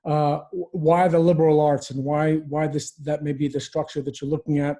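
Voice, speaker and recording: A man who teaches theater, speaking thoughtfully on a video-call webinar panel, pausing and restarting often. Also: fairly high pitch, level tone, dark tone. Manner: thoughtful, hesitant, many fillers and restarts. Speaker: theater professor.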